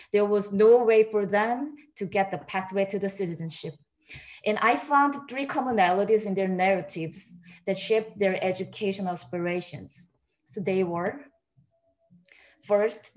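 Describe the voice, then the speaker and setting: A woman who is a native Korean speaker from South Korea gives a research talk in a measured, read style over a video call. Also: mid-range voice, a little mellow, poor audio. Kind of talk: read academic presentation. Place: home room, video call.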